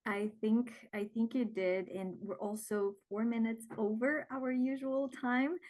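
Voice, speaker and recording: A woman's voice: on the high side, fairly even intonation, a little slow, a little mellow. A woman hosting a webinar over a video call, reading questions from the chat and earnestly thanking the presenter. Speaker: webinar host.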